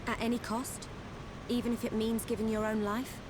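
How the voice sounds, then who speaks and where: A woman's voice: on the high side, level tone, moderate pace, noisy background, lightly acted. An actress playing a caring, serious young woman fighter in a video game drama. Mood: probing. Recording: game music behind voice.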